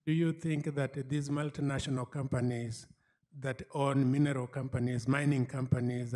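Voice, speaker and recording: Mellow-voiced man from Kenya, hesitant. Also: mid-range voice, slightly rough. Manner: polite, hesitant with many fillers. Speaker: student, audience member asking a question. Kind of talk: audience question at a lecture. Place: lecture room, seated in the audience. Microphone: handheld microphone.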